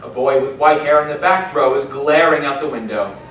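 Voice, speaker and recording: A man narrating a scripted story in an animated, storytelling style at a live panel. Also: a little high, moderate pace, muffled recording. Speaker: narrator of a live audio drama table read. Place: large indoor panel room at a convention. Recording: echoey convention hall, distant mic, faint noise.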